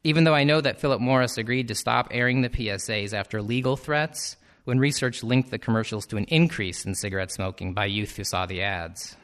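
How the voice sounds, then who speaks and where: A man narrates a personal essay at an unhurried, even pace. His delivery is thoughtful and reflective, with a dry, slightly wry touch. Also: medium pitch. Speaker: teacher.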